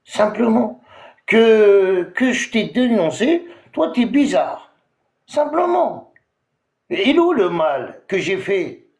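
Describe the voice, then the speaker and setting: Man, TikTok live host, confrontational rant, lots of hesitations, higher voice, roomy echo. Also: darkish tone. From Algeria. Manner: confrontational, repetitive, full of hesitations. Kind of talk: heated live-stream rant.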